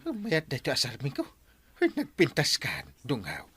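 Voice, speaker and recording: Old village captain in radio comedy, vain n excitable, voice jumps all over, hems n haws. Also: male voice, on the high side, moderate pace, slightly rough, heavily acted. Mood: pleased. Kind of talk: comedic radio drama acting.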